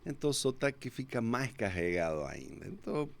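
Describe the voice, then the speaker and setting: A man in his fifties from Córdoba, Argentina, with a strong Argentine Spanish accent, telling his life story as a podcast guest in a relaxed, reflective way. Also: medium pitch, gravelly. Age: 50s. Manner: unhurried, reflective storyteller, often restarts with "então". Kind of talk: casual storytelling interview.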